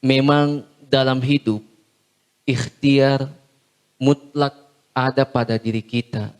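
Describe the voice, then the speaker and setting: A man preaching a short Islamic sermon in a measured, solemn way, phrase by phrase with long pauses. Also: mid-range voice, fairly even intonation.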